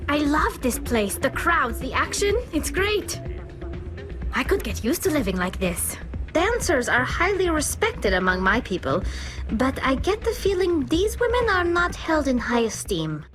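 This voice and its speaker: An earnest young alien engineer. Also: female voice, high-pitched, sing-song, unhurried, a bit bright, full character voice. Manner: earnest, curious, explains her people plainly. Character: young female quarian engineer, curious, earnest. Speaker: squad member. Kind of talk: video game voice acting. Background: game music.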